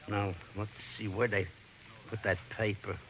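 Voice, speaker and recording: Dim, blustering New police sergeant. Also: male voice, on the low side, level tone, a bit bright. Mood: gruff, blustering. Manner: slow-witted, blustering, ungrammatical. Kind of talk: 1940s radio comedy-detective acting. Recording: noisy old-time radio recording.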